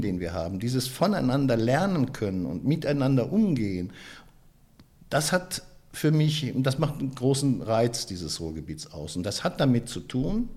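A man's voice: mid-range voice, a little mellow. Guy from the ruhr area chatting in an interview, hesitant, lots of uhs and restarts. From Ruhr area, Germany. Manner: thoughtful, many hesitations and restarts. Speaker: interviewee, photographer and author. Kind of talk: reflective interview talk.

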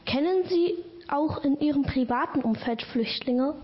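A woman's voice: high-pitched, a little slow. A teenage girl, a youth podcast reporter, politely reading prepared interview questions. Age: teens. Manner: polite, carefully read questions. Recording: dull lo-fi sound.

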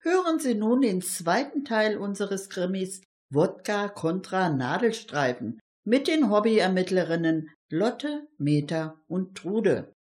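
A woman's voice: mid-range voice, sing-song, slow pace, darkish tone. A woman announces a radio programme from a script. She takes her time, and her intonation moves widely up and down. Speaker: radio show presenter.

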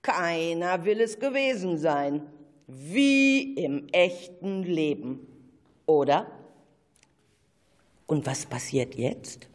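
A narrator telling a children's radio play, addressing listeners conversationally in a husky voice. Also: medium pitch, very animated, very slow, long pauses.

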